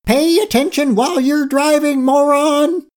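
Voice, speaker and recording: A tiny, fierce female hamster from a children's story. The voice sits far above normal speech and swoops about excitably. She is indignant and loves giving orders. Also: mid-range voice, a little slow, pitched above the performer's own voice. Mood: outraged. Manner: shrieking, indignant, commanding.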